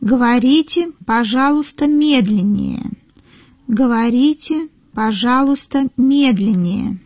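A woman reading model sentences for learners in a careful, deliberate way, repeating each phrase. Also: on the high side, a little mellow, no echo. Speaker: native-speaker model reader.

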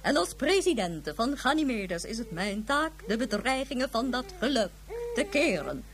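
A self-righteous lady president in a radio play. Her high voice swings theatrically between stately pronouncement and doting coo. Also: very animated, moderate pace, crowd noise. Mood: stern, pompous. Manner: theatrical, cooing then stately. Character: self-righteous woman president of a colony world, doting on pet. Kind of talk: scripted radio drama.

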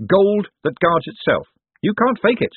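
Guy doing a cocky con man hyping a crowd, voice way up high, husky, phone-thin audio. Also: big pitch swings, measured pace, dry recording, phone-quality sound, full character voice. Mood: rousing sales pitch. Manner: loud, cocky public patter. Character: brash, glib male showman and con artist. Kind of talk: audiobook character voice.

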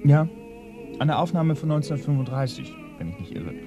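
An actor playing an earnest, pedantic police assistant in a radio crime play, precise and deadpan as he lists facts. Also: on the high side, brisk, over music. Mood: dry.

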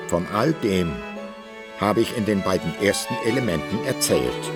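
An actor playing an old-time author who narrates a radio drama in measured, dramatic and archaic phrasing. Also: male voice, low-pitched, animated, loud music behind the voice. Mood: measured storytelling. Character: the author as measured, literary storyteller.

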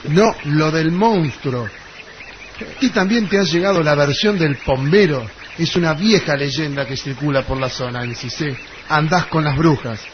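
A man's voice: fairly high pitch, a little slow, close to an everyday voice. A man playing a young lover, tender and scornful by turns, acting dramatically in a radio melodrama. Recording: muffled lo-fi radio, noisy background.

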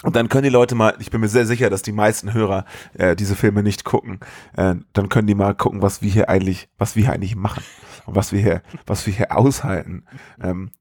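A man co-hosting a podcast, chatting casually about films in an animated, incredulous way full of colloquial exclamations. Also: fairly low pitch, fairly quick. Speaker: podcast co-host. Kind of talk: casual film review chat.

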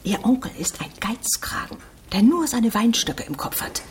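A woman's voice: a little high, very animated, moderate pace, in a whisper. An actress playing a haughty, gossiping married lady of the provinces in a German radio drama, disdainful and emphatic. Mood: scornful.

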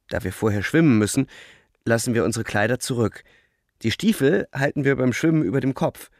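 An actor playing a bitter, world-weary young front-line soldier narrating a radio drama in a measured, grim, gravelly way. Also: male voice, mid-range voice, dry recording, clean studio sound. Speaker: first-person narrator.

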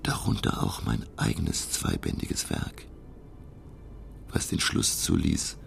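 An actor playing a learned, curious professor who narrates his undersea adventure in a radio play, gravelly and measured. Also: wildly swooping pitch, faint music behind, exaggerated voice. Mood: reflective wonder. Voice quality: very deep, gravelly. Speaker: narrator and character.

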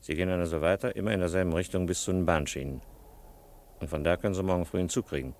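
Gruff border cop in a radio play, very low creaky voice, bossy and clipped. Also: male voice, racing, darkish tone. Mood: brisk, instructing. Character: brusque, impatient Belgian border policeman, secretly helpful. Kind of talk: German radio play acting.

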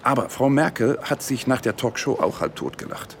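Anxious male party official, acted by a man, medium pitch, fretful and cautious, radio satire, faint ambient noise. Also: lively intonation, moderate pace, lightly acted. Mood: worried. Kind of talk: satirical radio drama acting.

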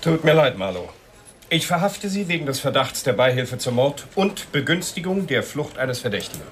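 A stern police inspector, played by a male actor in a radio crime drama. He is formal and authoritative, with animated intonation at a medium pitch over faint noise. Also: normal speed, lightly acted. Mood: stern, official.

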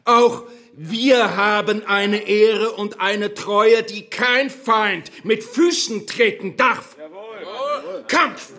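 Pompous, high-pitched Nazi village orator. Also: male voice, bright, forceful tone, full character voice. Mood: fervent, rousing. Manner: declamatory, unctuous, dramatic pauses. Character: pompous rural Nazi functionary rallying villagers to enlist. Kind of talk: rousing wartime speech in a radio play.

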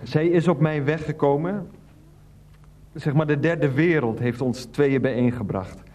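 An actor playing an earnest, clueless middle-aged husband in a radio play, confessing in a halting, rambling, sincere way. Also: male voice, fairly high pitch, a little mellow. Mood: awkward, sincere.

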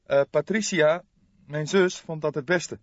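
A man reading an audiobook, voicing a distraught, hesitant younger man who pleads for help in a halting, emotional, trembling way. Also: a little high, no room sound, highs cut off, voice pushed up. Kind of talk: audiobook character voice.

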